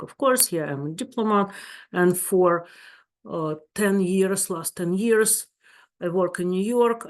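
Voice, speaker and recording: A woman with a Russian accent, a therapist giving a webinar talk in her second language, searching for words and speaking earnestly. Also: mid-range voice. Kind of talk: webinar presentation.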